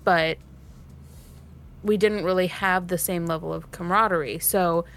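A woman's voice: on the low side, steady tone, normal speed, fairly bright tone. A woman in a cast discussion, talking reflectively and thoughtfully as she pieces her points together in long sentences. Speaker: cast member of an actual play series.

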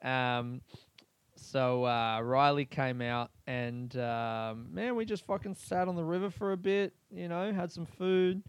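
A man's voice: medium pitch, slow pace, smooth, dry recording. A man hosting a podcast, rambling through a casual comedic monologue and swearing freely while he laughs at his own jokes. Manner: crude, swears a lot, laughs often; animated, rambling storytelling. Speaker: podcast host.

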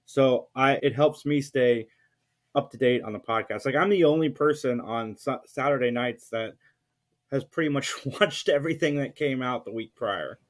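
A man's voice: mid-range voice, moderate pace. A middle-aged man talking casually as a guest on a podcast. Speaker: podcast guest and co-host of a geek podcast. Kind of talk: casual chat.

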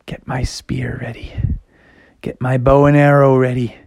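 A man's voice: medium pitch, lively intonation, moderate pace, darkish tone, dry recording, studio quality, close to an everyday voice. A man voicing an eager, well-meaning frog hunter in a children's story, earnest and full of exclamation. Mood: sneaky, whispering.

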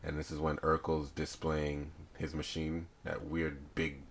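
A man in his late forties with a deep voice, hosting a casual podcast chat in bursts of loud, animated talk. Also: unhurried. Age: 40s. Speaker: podcast host.

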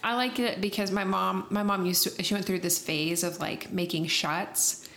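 A woman's voice: mid-range voice, steady tone, normal speed, vocal fry, a bit bright. A young woman co-hosting a podcast, sniffly from allergies, chatting in a rambling way with fillers. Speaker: podcast co-host. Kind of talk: casual chat.

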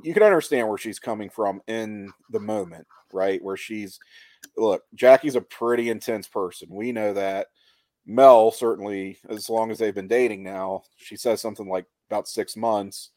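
A man with a fairly low voice chats as a podcast co-host. He casually picks apart a TV show, thinking aloud in colloquial language. The recording is dry, with no room sound. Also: darkish tone, no echo. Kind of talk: casual discussion and analysis of a TV show.

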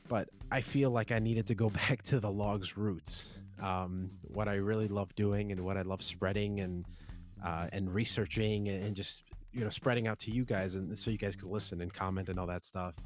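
A young man in his twenties talks through a solo podcast episode in a very low, deep voice. He rambles loosely, stacking fillers and false starts and chuckling as he goes. Faint music sits quietly behind him. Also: fairly even intonation, normal speed. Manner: rambling, many fillers and restarts, chuckling often. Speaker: podcast host. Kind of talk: solo casual podcast talk.

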